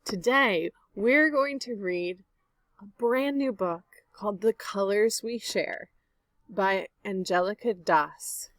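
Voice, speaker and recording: A woman reads a picture book to young children in the manner of a teacher. Her voice sits at a medium pitch and she speaks clearly and expressively. The sound is dry, with very little room in it. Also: slow, no room sound. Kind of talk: children's read-aloud narration.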